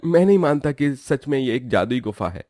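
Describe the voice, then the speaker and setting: A man's voice: medium pitch, animated, brisk, a little mellow, dry recording, clean studio sound, lightly acted. Man playing a lazy, scheming young woodcutter. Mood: sly, demanding. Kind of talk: Hindi cartoon story voice acting.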